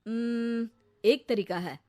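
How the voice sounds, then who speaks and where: Woman playing a sly, slow-talking schemer. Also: fairly high pitch, a little mellow. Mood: scheming. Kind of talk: cartoon comedy acting.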